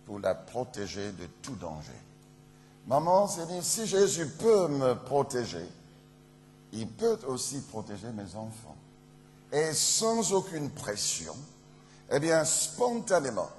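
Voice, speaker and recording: A man's voice: mid-range voice, darkish tone. A man from Ghana, a pastor, giving a solemn testimony in a sermon. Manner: slow, solemn storytelling delivery. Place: church. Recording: reverberant church hall, faint hum.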